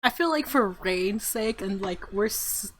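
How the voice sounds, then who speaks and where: A woman's voice: mid-range voice, animated, unhurried, a bit bright, rough recording. A woman doing gameplay commentary, reacting in a giggly way and reading story text aloud dramatically, with game music behind her. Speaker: game streamer, let's player. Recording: music under the voice.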